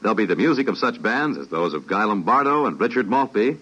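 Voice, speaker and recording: A man's voice: fairly low pitch, big pitch swings, fast talker, fairly bright tone, breathy edge. A man announcing in a dramatic, theatrical style of 1950s scripted radio. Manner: theatrical, portentous delivery. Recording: noisy, muffled vintage radio recording.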